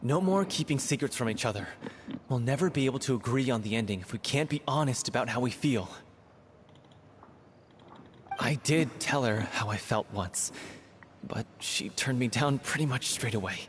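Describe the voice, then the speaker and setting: A man playing an earnest, grieving young stage actor in a video game, speaking in a measured, dramatic way. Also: medium pitch, lively intonation, slight background noise, lightly acted. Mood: confessing. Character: earnest grieving young theatre troupe actor, heartfelt storyteller.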